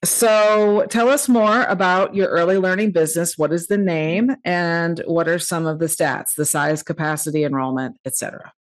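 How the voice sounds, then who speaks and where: A woman's voice: on the low side, steady tone, moderate pace, hardly any pauses, low-quality recording. A middle-aged woman hosting an upbeat podcast interview. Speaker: podcast host. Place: indoor room at home or office, video call. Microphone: desk microphone with headset.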